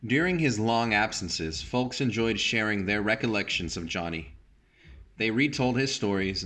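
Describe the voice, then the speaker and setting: Male storybook reader, lowish voice, teacherly and measured, narrating with short explanatory asides. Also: pushed and bright. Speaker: teacher reading a picture book aloud. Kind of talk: storybook read-aloud with brief asides.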